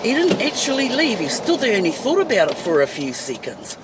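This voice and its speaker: A woman's voice: a little high, wildly swooping pitch, brisk. A middle-aged woman with a New Zealand accent, bluntly ranting and swearing to her phone camera while driving. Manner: blunt, fiery, swears freely. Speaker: vlogger. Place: inside a van in traffic. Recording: distant phone mic with loud road noise.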